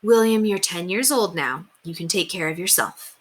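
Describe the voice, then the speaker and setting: A woman's voice: lowish voice, normal speed, husky. A woman reading a children's storybook, voicing an affectionate, playfully firm housekeeper who sounds assured and teasing. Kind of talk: children's storybook read-aloud.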